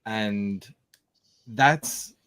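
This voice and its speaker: A man's voice: on the low side, drawn-out words, fairly bright tone, smooth, no room sound. A man co-hosting a casual talk show, making wry, ironic asides. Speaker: podcast co-host. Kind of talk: casual chat.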